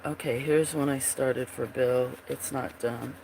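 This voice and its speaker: Slow, froggy-voiced man rambling. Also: medium pitch, steady tone, darkish tone, slight background noise, poor audio. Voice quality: froggy, gravelly voice. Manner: slow, meandering, trailing off while searching. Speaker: livestream host showing his drawings. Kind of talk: casual rambling commentary.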